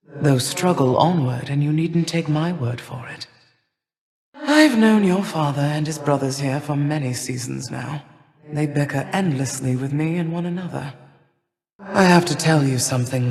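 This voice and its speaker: A woman playing an imperious, cold older goddess of winter and harvest in a video game, with stately, formal, measured delivery. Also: deep voice, gravelly, darkish tone. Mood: haughty, musing, then coolly confiding. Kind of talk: theatrical video game character lines. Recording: long reverberant hall echo.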